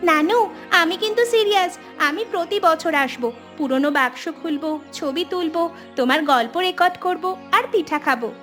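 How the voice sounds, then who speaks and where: A woman voicing an eager, cheeky young granddaughter in an animated family story. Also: very high voice, normal speed, faint music behind. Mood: eager, earnest. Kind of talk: animated family drama dialogue.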